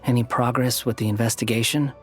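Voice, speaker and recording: A man's voice: mid-range voice, steady tone, quick pace, soft background music, close to an everyday voice. An actor playing a blunt, aloof young man in a fantasy game, with curt, dry, challenging delivery. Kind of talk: scripted game dialogue.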